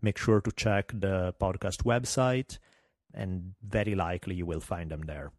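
A man's voice: sits low, a little slow, dry recording, studio quality. Italian-accented man hosting a podcast. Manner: candid, self-deprecating, wry. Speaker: podcast host. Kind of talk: solo podcast monologue.

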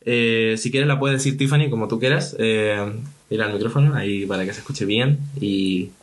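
A man's voice: on the low side, moderate pace. Young man hosting a podcast, hesitant. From Chile. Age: young adult. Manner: hesitant, many fillers and restarts. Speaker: podcast host. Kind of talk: casual discussion.

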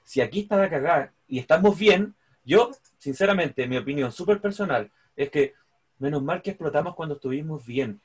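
A man's voice: a little high, expressive, fairly quick, husky. A man with a Chilean accent in casual chat, frank and conversational.

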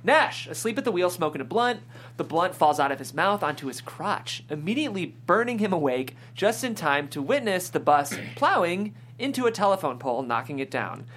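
A woman's voice: fairly low pitch, big pitch swings, normal speed, slightly rough. A woman reading scripted narration and stage directions at a comedy table read.

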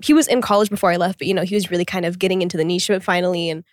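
A woman's voice: lowish voice, no echo, clean studio sound. A woman in her twenties co-hosting a podcast, chatting casually and teasing her co-host playfully. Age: 20s. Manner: playful, quick to laugh, lively teasing. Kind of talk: casual chat.

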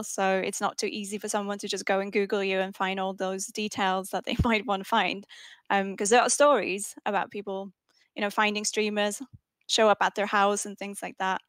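A woman's voice: medium pitch, fairly bright tone, no room sound. A woman streamer speaking on a panel, hesitant, with many ums. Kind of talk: casual panel discussion.

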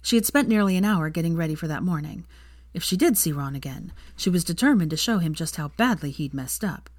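Lowish, animated woman reading a story. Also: a little fast, no room sound, studio quality. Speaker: fan fiction reader. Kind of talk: expressive scripted narration of a story.

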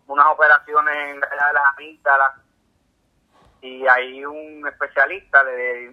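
Puerto rican guy on a tinny phone line, calm, lots of ums. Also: medium pitch. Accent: Puerto Rican Spanish. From Puerto Rico. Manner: calm, halting storytelling with many fillers. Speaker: guest, ultramarathon swimmer. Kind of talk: casual interview. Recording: tinny phone-like call audio.

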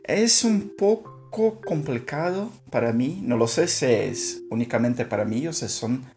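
A Brazilian Portuguese speaker learning Spanish, talking in a halting way, searching for words and laughing softly. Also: medium pitch, expressive, faint music behind. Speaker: language learner. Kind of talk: casual spoken practice monologue.

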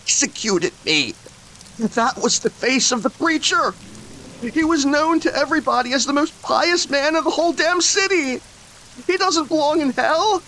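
A man voicing a panicked, tearful young man who shouts in desperation. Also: very high voice, huge pitch swings, normal speed, a little hiss or hum, pitched above the performer's own voice, exaggerated voice, poor audio. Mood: frantic.

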